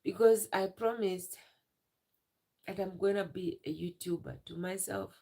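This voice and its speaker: A young woman on a live stream, tearful and emotional, talking in halting phrases and repeating herself. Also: fairly low pitch, gravelly, mellow. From Uganda. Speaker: livestreamer.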